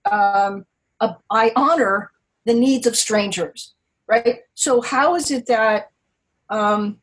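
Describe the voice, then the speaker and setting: A woman guest on a podcast, talking thoughtfully and haltingly, often restarting as she searches for words. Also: fairly high pitch, husky, poor audio. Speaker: guest, poker writer. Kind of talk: podcast interview, reflective.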